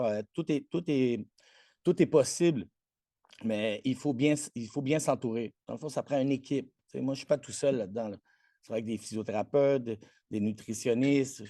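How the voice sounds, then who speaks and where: A self-assured trainer in his forties talks with a Québécois French accent, Spanish being his first language. His voice is mellow and warm, caught dry and close. He boasts freely and trips over his own restarts. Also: medium pitch, no room sound. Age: 40s. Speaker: guest, athletic trainer. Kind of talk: casual interview chat.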